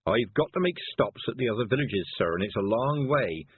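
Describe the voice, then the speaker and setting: A man voicing a humble male cart driver who keeps deferring politely, with a husky voice. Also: mid-range voice, expressive, brisk, a little hiss or hum, lightly acted. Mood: deferential. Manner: deferential, keeps saying sir. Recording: dry tinny phone-like sound.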